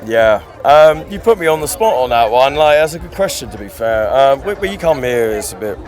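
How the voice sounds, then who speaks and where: A man's voice: mid-range voice, very animated, fairly quick. A man answering a street interview with hesitation and friendliness. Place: busy city street, outdoors. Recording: street crowd behind.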